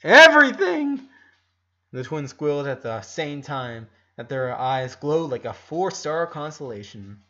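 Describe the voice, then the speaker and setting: A man's voice: medium pitch, unhurried, few pauses, highs cut off. A man narrating a fan fiction story aloud in a dramatic reading style.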